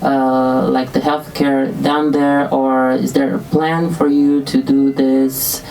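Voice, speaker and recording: A woman's voice: rumbling low, level tone, takes it slowly, mellow, distant mic, faint music behind, poor audio. A woman interviewing a guest, asking long questions with many hesitations. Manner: hesitant, many fillers and restarts.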